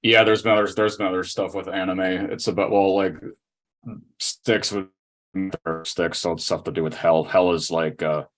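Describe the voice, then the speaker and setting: A man with a low voice and very flat intonation speaks as a guest on a podcast. His delivery is informal and conversational, like someone casually discussing a hobby. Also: moderate pace. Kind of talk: casual podcast chat about anime.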